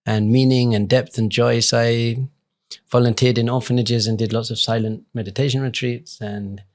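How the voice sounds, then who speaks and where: A man talks as a guest in a conversational interview. His voice sits at a middle pitch. He is earnest and reflective, explaining his ideas in informal, everyday language. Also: little pitch movement, moderate pace. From England. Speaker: rabbi and podcast guest.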